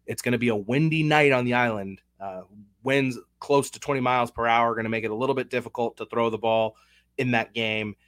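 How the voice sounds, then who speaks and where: A man with a head cold co-hosting a casual sports betting podcast, talking through picks in a measured, analytical way. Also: mid-range voice, steady tone, fairly bright tone, smooth, dry recording. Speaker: podcast co-host.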